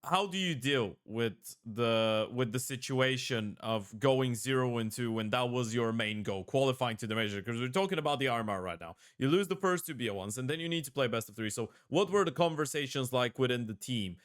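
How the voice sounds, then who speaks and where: Male interview host, smooth tone, chatty long-winded questions. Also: mid-range voice, animated, fairly quick, few pauses, fairly bright tone. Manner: rambling long questions, self-correcting. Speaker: podcast host. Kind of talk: casual interview.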